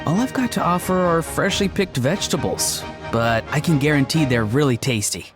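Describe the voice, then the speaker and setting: Modest, higher-pitched young man played by actor. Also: animated, normal speed, natural voice. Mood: sincere. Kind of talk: video game voice acting. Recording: game music behind the voice.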